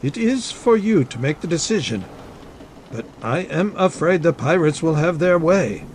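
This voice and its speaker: A man playing a scheming army captain in a dramatic audiobook, speaking formally with smooth menace. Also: on the high side, lively intonation, moderate pace, a little mellow, slight background noise, close to an everyday voice. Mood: smooth, menacing. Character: scheming, coercive male army captain, a suave villain. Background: ambience under the voice.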